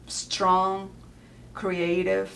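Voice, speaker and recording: A woman in her forties from Peru with a Peruvian Spanish accent, chatting casually as an interview guest in a relaxed way with soft fillers. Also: medium pitch, very slow, vocal fry, slight background noise. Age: 40s.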